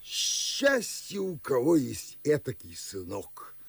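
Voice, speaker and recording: An actor playing a fussy, fawning elderly Moscow gentleman with a gravelly, husky voice in a classic radio play. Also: medium pitch, huge pitch swings, slow pace, dry recording, exaggerated voice. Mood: flattering. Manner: fawning, fussy, chuckling host.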